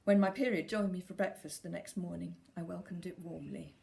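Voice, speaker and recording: A woman reading a scripted first-person literary story in a dry, measured storytelling manner. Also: on the low side, creaky, darkish tone. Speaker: story reader.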